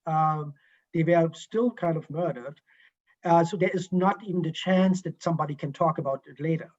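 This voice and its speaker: A man from East Germany with a German accent, answering questions as a guest historian over a video call, pausing often with ums and uhs. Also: a little high, darkish tone. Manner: halting, many ums and uhs, restarts. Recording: muffled video call audio.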